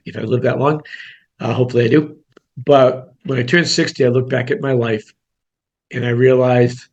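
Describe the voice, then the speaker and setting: A gravelly-voiced man in his sixties leads a real estate coaching webinar. He talks bluntly and casually, throwing in self-deprecating jokes. His delivery stays flat, with little rise and fall. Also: mid-range voice. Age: 60s. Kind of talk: webinar presentation.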